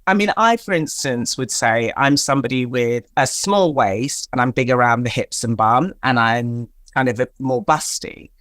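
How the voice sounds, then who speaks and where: A woman's voice: a bass voice, normal speed, hardly any pauses, fairly bright tone, no echo, studio quality. A woman from Tanzania, a guest in a conversational interview, talking emphatically and explaining with examples. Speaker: guest, fashion stylist.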